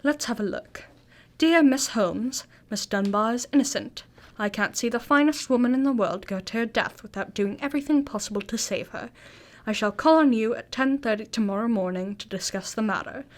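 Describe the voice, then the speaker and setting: Woman acting as curious female detective's companion, slightly high voice, cheery, old-fashioned politeness, radio drama. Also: normal speed, lightly acted. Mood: intrigued.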